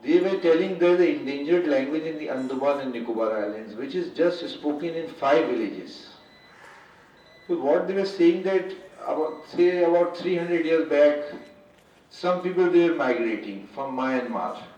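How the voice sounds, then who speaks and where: A man's voice: fairly high pitch, darkish tone. An Indian man with Urdu as his first language addresses a symposium off the cuff, breaking off and correcting himself as he goes. His voice comes from well back from the microphone in a heavily echoing hall. The sound is dull and muffled, with faint room noise underneath. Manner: halting, with frequent restarts and self-corrections. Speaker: symposium speaker. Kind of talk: unscripted formal address. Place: large lecture hall. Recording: echoey hall, distant, muffled.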